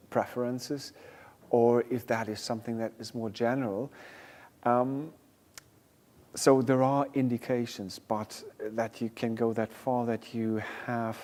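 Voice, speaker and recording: A man's voice: medium pitch, a little mellow, long reverb tail. A man with a German accent and German as his first language, speaking as a music therapy researcher on a panel and often hesitating and restarting as he searches for words. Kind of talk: panel discussion.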